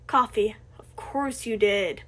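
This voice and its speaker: A woman voicing a tired, grumpy older man with a flat, weary delivery. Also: mid-range voice, takes it slowly, voice pushed down.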